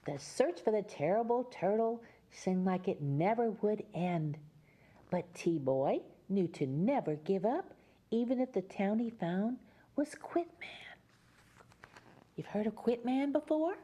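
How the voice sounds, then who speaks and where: A woman storyteller in the role of Mother Goose, reading to children at an unhurried pace. Her voice is soft-edged and mellow, with pitch that moves up and down freely. She breaks from the text for chatty asides that explain pictures and places. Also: expressive.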